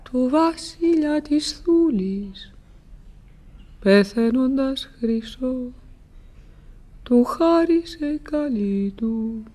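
An actress playing a young maiden who sings a wistful old ballad gently in a radio play. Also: female voice, high voice, expressive, long pauses. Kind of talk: sung ballad in radio drama.

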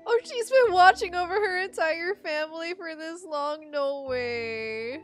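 A woman from the Philippines reacts casually to a game as a YouTuber. Her voice is high and her delivery unhurried but full of enthusiastic exclamations, with game music clearly behind her. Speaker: gaming YouTuber.

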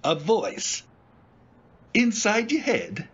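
A man acting a blunt, exasperated cab driver in a radio play. His delivery is slow but swings widely in pitch, with a husky edge, and he sounds incredulous and wary. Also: wildly swooping pitch, fairly bright tone, dull audio, exaggerated voice. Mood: bemused. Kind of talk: old-time radio drama acting.

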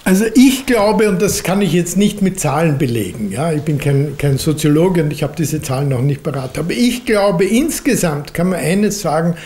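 A man in his seventies with an Austrian accent, answering questions as a lecturer in a deliberate way, often adding questioning tags. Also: fairly high pitch, expressive, darkish tone. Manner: deliberate, hedging, often adds a questioning "nicht?". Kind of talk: unscripted answer after a lecture. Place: library lecture room, at a lectern. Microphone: lectern microphone.

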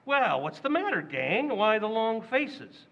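A man's voice: sits high, very animated, measured pace, creaky, a bit bright, muffled recording, exaggerated voice. An actor playing a boastful, blustering middle-aged father in a 1940s-style radio comedy, brash and full of chuckles. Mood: cocky.

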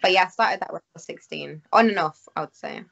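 A woman's voice: fairly low pitch, quick pace, creaky, fairly bright tone. Chatty Venezuelan-born woman. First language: Spanish. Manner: chatty, lots of "like". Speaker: guest, actress and model. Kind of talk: casual interview chat. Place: small room at home. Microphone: video call. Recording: muffled video call audio.

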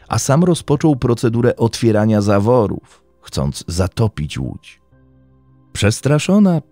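Deep, animated male crime storyteller over music. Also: no echo. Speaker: true-crime podcast narrator. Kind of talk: scripted dramatic storytelling narration. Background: music bed under the voice.

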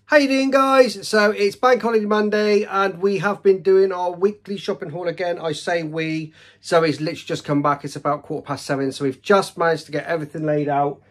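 A man's voice: sits high, steady tone, fairly quick, hardly any pauses, faint music behind. A man talks casually to camera in a home kitchen as a family vlogger. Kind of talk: casual vlog introduction to camera.

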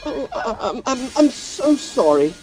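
A woman's voice: high voice, big pitch swings, a little slow, warm tone, soft background music, poor audio. A woman voicing an eager, clumsy young Edwardian assistant with an English accent, gushing and apologetic in a comic audio drama. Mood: flustered.

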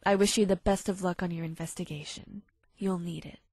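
A woman's voice: lowish voice, steady tone, a little fast, a little mellow, no echo, studio quality. A woman voicing a haughty, intimidating female unicorn in a cartoon dub, formal, precise and curt. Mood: icy. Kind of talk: cartoon fandub acting.

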